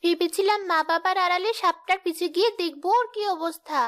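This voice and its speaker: A woman's voice: very high voice, fairly even intonation, brisk, fairly bright tone. A woman voicing a kind, dutiful young village daughter in a cartoon, with faint crickets behind. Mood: worried, thoughtful. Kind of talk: dubbed cartoon dialogue.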